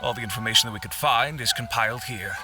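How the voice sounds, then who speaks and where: A man's voice: mid-range voice, fast, loud music behind the voice, close to an everyday voice. A man voicing an earnest, apologetic publishing official in a video game, formal and deferential.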